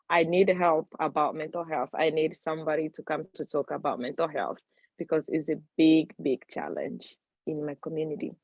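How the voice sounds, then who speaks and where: A woman from Tanzania speaks over a video call, haltingly and slowly, pausing to find her words. Her voice sits very low for a woman. The sound is dull and dry, with no room around it. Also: a little mellow, no room sound. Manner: halting, unhurried, searching for words. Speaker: founder of a women's organization. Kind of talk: casual talk on a video call.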